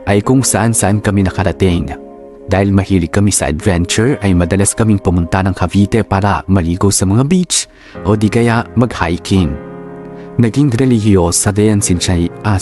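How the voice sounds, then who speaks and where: A man acting sentimental boyfriend narrator, low voice, Filipino accent, reflective, sincere, radio drama, soft guitar behind. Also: close to an everyday voice. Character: devoted sentimental boyfriend narrating his love story. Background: soft guitar music.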